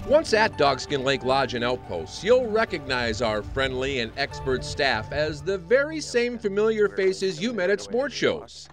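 A man's voice: a little high, lively intonation, measured pace, hardly any pauses. A man reading a polished, upbeat promotional voice-over. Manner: upbeat, polished sales delivery. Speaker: promotional narrator. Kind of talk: scripted voice-over narration. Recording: voice-over over loud music.

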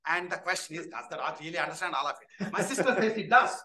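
A man giving a keynote talk, his voice high and bright. He is lively and chatty, often asking "right?". He was recorded from some distance in an echoey hall. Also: quick pace. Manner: animated, tells jokes, frequent "right?" and "you know". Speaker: keynote speaker, professor. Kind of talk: lecture with audience questions. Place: lecture hall. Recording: echoey hall, speaker off-mic.

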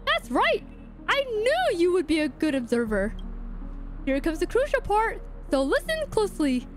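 Woman putting on a perky, very high cartoon clock mascot. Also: huge pitch swings, moderate pace, soft background music, full character voice. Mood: chummy, eager. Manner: theatrical, sing-song.

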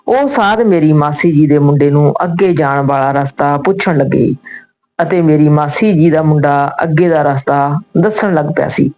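A woman reading a scripted story and its dialogue in a deliberate, phrase-by-phrase manner. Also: low-pitched, a little mellow.

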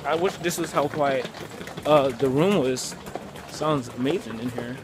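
A man's voice: mid-range voice, unhurried, background noise. A teenage boy chatting casually and playfully into a handheld camera as he vlogs on the move. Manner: playful, teasing. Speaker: vlogger. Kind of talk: casual handheld travel vlog chat. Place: on the move, outdoors on streets and aboard transit. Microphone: handheld camera at arm's length.

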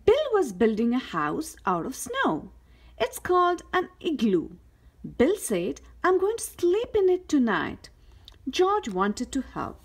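A woman reading a children's picture book aloud as a storyteller, with careful, expressive delivery. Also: high-pitched, takes it slowly. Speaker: host of a read-aloud story channel.

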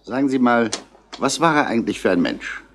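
An actor playing a dry, probing Paris police inspector in a 1960s German radio crime drama, questioning tersely. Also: male voice, medium pitch, expressive, brisk, a bit bright. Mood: probing, brisk.

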